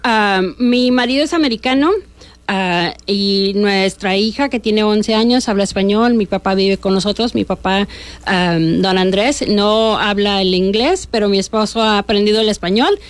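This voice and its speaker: A woman's voice: mid-range voice, normal speed, dry recording. A middle-aged woman from northern Mexico with a northern Mexican accent, explaining bail bond rules as a guest in a casual radio interview, with many fillers and restarts. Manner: chatty, frequent fillers and restarts.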